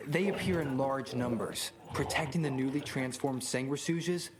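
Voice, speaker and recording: An actor playing a studious field researcher, narrating a monster journal in a video game with formal, precise phrasing. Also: male voice, medium pitch, normal speed, noisy background, close to an everyday voice. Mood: studious, uneasy.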